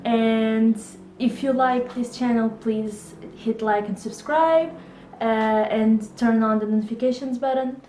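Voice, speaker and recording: A woman's voice: fairly high pitch, slow pace, mellow, reverberant, a little hiss or hum. A woman in her twenties from Portugal with a Portuguese accent, chatting casually to camera about books, laughing often and hesitating with fillers. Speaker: book vlogger.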